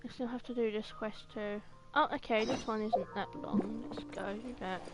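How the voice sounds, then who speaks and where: A woman's voice: a little high, fairly even intonation, normal speed, heavy background noise. A woman giving casual live game-stream commentary, playfully repeating her words as she reacts.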